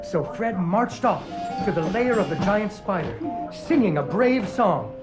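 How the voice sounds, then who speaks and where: A woman's voice: medium pitch, expressive, unhurried, close to an everyday voice. An actress playing a patient mother in a video game, telling a fairy tale to a child with dry humour. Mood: storytelling. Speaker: storyteller narrator in a video game. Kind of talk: storybook narration for a child. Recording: music behind.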